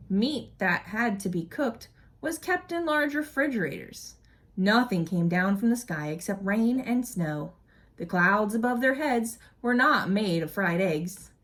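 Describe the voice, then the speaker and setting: Female children's storyteller, mid pitch, highly animated delivery, teacherly read-aloud style for young listeners. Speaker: early childhood educator reading to children. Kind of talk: expressive picture-book read-aloud.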